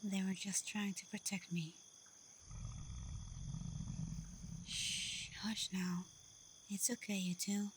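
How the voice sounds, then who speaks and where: A confident female werewolf alpha , played by a woman, talks straight to the listener in an ASMR roleplay. Her voice is soft and breathy, often near a whisper, with little rise or fall. She takes her time, gently teasing and protective, with faint crickets and night forest ambience behind her. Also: medium pitch, slight background noise, natural voice. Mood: calm, soothing. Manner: calm, unhurried, gently teasing.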